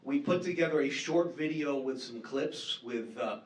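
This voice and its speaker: A man's voice: medium pitch, normal speed. A man with a New Hampshire accent giving a casual conference presentation on market research, chatty and self-deprecating with many fillers and repeats. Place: conference hall. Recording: echoey hall, distant mic.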